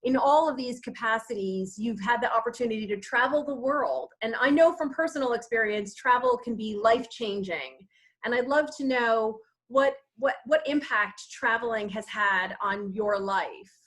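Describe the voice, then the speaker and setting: Woman moderator, higher-pitched voice, friendly prepared introductions over a video call. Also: normal speed, fairly bright tone. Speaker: event host and moderator. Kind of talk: hosting an online talk.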